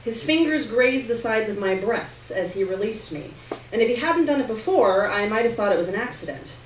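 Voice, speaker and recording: A woman's voice: on the high side, lively intonation, moderate pace. Woman reading fiction aloud in an echoey room. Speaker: reader performing a novel chapter to an audience. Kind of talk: expressive literary reading, first-person narration. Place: live reading event in a room. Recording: roomy, distant mic, faint noise.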